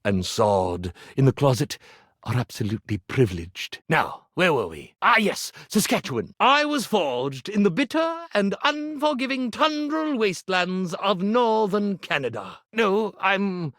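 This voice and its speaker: A man's voice: sits high, sing-song, unhurried, fairly bright tone, no echo, clean studio sound, heavily acted. A man voicing a video game character, a theatrical ironing board who fancies himself a grand illusionist, florid, melodramatic and easily flustered. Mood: excited, grandiose, then flustered, earnest. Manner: flamboyant, melodramatic, florid wording with flustered hesitations.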